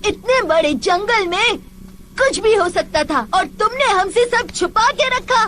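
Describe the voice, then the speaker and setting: A woman acts a bossy, indignant cartoon youngster. The voice is extremely high and jumps about expressively, with a questioning edge and faint noise behind it. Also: lively intonation, a little slow, pushed and bright, exaggerated voice. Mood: indignant, suspicious. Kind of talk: animated film dub.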